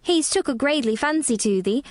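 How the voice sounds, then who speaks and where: A woman's voice: high voice, lively intonation, a little fast, a little mellow, dry recording, clean studio sound, voice pushed up. A woman voicing a spirited young girl who puts on a Yorkshire accent. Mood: playful. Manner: proud, playful put-on dialect.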